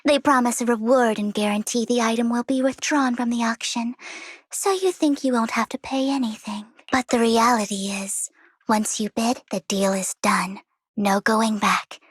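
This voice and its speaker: Breathy, elegant, teasing rich young lady. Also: on the high side, no echo. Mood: knowing. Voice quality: breathy, hushed. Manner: poised, teasing, deliberate. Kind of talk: video game character acting.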